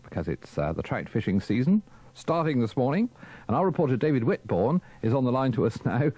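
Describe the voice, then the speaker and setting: A man's voice: mid-range voice, very animated, a little fast, dark tone, dry recording. A man presenting casually on live radio.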